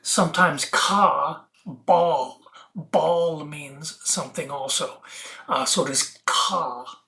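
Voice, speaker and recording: An earnest video host with a medium-pitched voice delivers a rambling spiritual monologue. The delivery is preachy and emphatic, pulling words apart into wordplay and addressing viewers directly. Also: drawn-out words, vocal fry, sharp tone.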